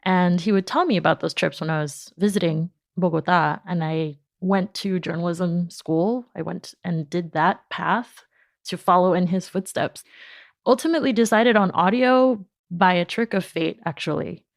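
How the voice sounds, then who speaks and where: Lively, laughing woman podcast founder. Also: fairly low pitch, moderate pace, gravelly, dry recording, studio quality. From New York, United States. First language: Spanish. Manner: animated storytelling, laughs often. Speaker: podcast company founder, guest. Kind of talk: interview answers.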